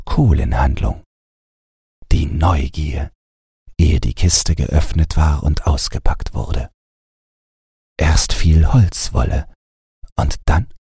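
A man narrating a bedtime audiobook in a calm, scripted storytelling style, close to the microphone. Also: extremely low pitch, slightly rough, no echo.

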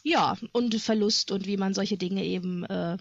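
A woman with a mid-pitched voice, sounding a bit dull and lo-fi, talks as a podcast guest. She is tentative and halting, often stopping to search for words in casual chat. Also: vocal fry, no echo. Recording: dull, slightly lo-fi connection.

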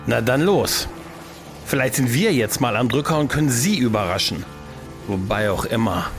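A man acting an anxious but sympathetic sidekick in a sci-fi radio play. His voice is an ordinary medium pitch. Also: expressive, moderate pace, over music, lightly acted. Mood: uneasy, resolute. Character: anxious, sympathetic male companion, ready to act. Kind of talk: German audio drama acting.

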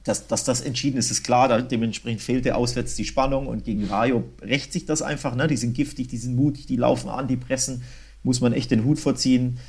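A man hosting a football podcast, talking casually and colloquially with clear opinions. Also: medium pitch, steady tone, brisk, darkish tone. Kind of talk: casual sports discussion.

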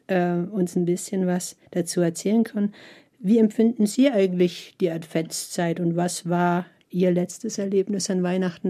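A woman's voice: medium pitch, dark tone. A woman interviewing a guest, speaking formally and laying out background before her questions. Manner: formal, explanatory.

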